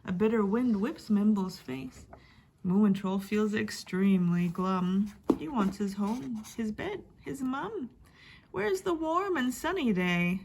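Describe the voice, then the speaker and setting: Slow, expressive woman reading a children's story. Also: medium pitch, vocal fry. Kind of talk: dramatic rhyming picture-book reading for children. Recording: faint background noise.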